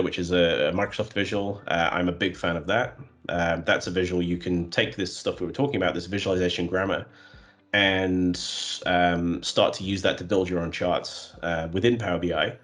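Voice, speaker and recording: A man in a conversational interview, speaking in a flat, monotonous way with many fillers and restarts. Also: low voice, normal speed, soft background music. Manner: self-described flat, monotonous voice. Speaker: guest, custom visual developer.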